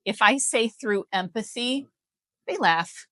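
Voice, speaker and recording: A woman's voice: on the high side, expressive, slow pace, a bit bright, no room sound, clean studio sound. An American woman explaining things in a live interview as a guest, in an emphatic, persuasive manner. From Missouri, United States. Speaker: healthcare company CEO, interview guest.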